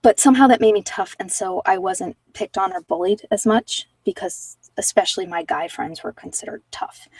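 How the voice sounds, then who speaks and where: A woman's voice: lowish voice, dry recording, distant mic, poor audio. A woman chatting casually and candidly with live viewers as a livestream host, in an informal, self-deprecating, rambling way. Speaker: livestream host giving card readings.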